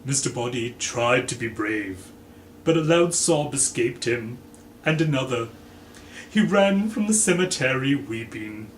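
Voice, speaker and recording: A man giving a dramatic, deliberate reading of a story as its theatrical narrator. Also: medium pitch, lively intonation. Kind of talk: dramatic audiobook-style narration. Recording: echoey room, faint background noise.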